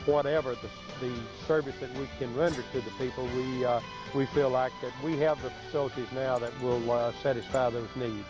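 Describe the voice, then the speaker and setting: A man, a local official, giving a halting interview answer full of uhs and pauses on old lo-fi video. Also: mid-range voice, fairly even intonation. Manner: hesitant, frequent uhs and pauses. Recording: dull lo-fi archive video, music behind. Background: clear background music.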